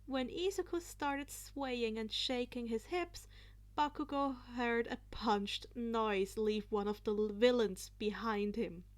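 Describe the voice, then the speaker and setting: A woman narrating fan fiction in an expressive storytelling style. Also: a little high, slow pace.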